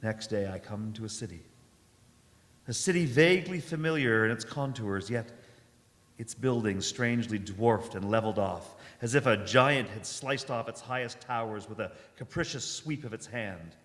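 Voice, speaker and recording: A man playing a haunted, weary wanderer, solemn and sometimes halting, in a live radio drama. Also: on the low side, a bit bright, close to an everyday voice. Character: haunted, weary male wanderer narrating his survival. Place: church hall stage, live performance. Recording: echoey hall, live performance.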